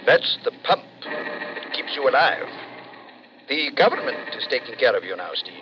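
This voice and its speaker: A man's voice: medium pitch, sing-song, moderate pace, lo-fi sound, lightly acted. Man acting a swooping, sly radio-thriller bearer of bad news. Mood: grimly reassuring. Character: sly, chatty man breaking grim news to a friend. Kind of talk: old-time radio suspense acting. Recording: band-limited, faintly noisy old radio recording.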